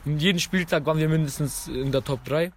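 A man's voice: fairly high pitch, slight background noise. A young man, a youth league player, answering an interview casually and stringing his story together with "danach". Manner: rushed, strings clauses with "danach".

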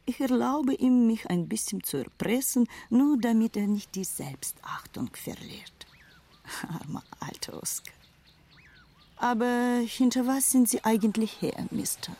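An actress in a dubbed film thriller playing a proud, world-weary former madam turned respectable wife, disdainful and wistful in manner. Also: female voice, a little high, lively intonation, a little slow, warm tone.

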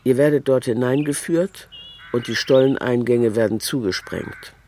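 A man's voice: mid-range voice, normal speed, dark tone, a little hiss or hum. A man reading scripted documentary narration.

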